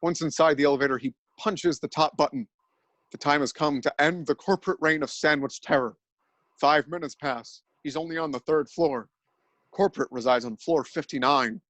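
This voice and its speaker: Man narrating deadpan mock-drama. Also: on the high side, normal speed, no echo.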